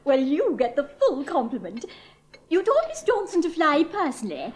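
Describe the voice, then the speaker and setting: A woman's voice: high voice, wildly swooping pitch, normal speed, slight background noise. An English actress in a 1970s radio play, playing a gushing, condescending newspaper reporter who dictates her copy aloud. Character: gushing, patronising woman society reporter.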